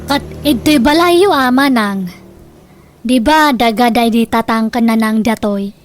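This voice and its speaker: A woman playing a scheming, sharp-tongued mother in a radio drama, with a Filipino accent. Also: a little high, measured pace, lightly acted. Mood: insistent. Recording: noticeable background noise.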